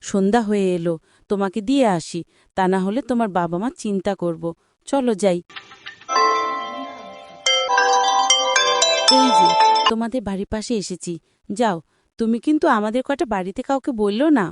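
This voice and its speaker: A woman voicing a kindly woman from the moon in a cartoon, soft and reassuring. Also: mid-range voice, fairly quick, over loud music, lightly acted. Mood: gentle. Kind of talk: cartoon voice acting.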